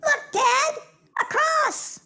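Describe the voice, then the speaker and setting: A man voicing an excitable, eager young boy in simple broken English for an audiobook. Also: very high voice, very slow, vocal fry, heavy background noise, voice pushed up, full character voice. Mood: excited. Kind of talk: audiobook character voice.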